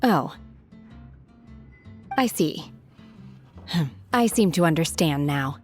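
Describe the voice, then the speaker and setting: An aloof mountain adept speaks in a low, very slow and measured voice. She is calm, detached and literal-minded. Soft game music runs in the background. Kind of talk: game cutscene voice acting.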